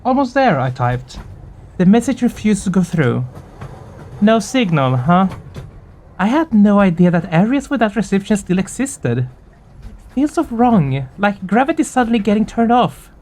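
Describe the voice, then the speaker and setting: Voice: mid-range voice, very animated, a little slow, a little mellow. A game streamer with an informal, candid, somewhat hesitant way of talking, chatting casually and reading visual novel text aloud. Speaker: host of a visual novel playthrough channel.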